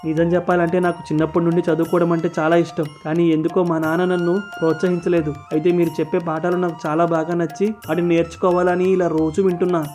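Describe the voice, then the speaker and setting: A man playing a humble, earnest young vegetable seller in an animated moral story, polite and deferential. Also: fairly high pitch, fast talker, hardly any pauses, soft background music, natural voice. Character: humble, earnest vegetable-selling boy eager to study.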